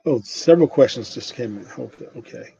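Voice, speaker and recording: Older man, husky, halting lecturer. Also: mid-range voice, animated, warm tone. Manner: halting, with frequent repeated starts and self-corrections. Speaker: sociology professor and presenter. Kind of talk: academic presentation question-and-answer, informal explanation. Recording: muffled, dull lo-fi audio.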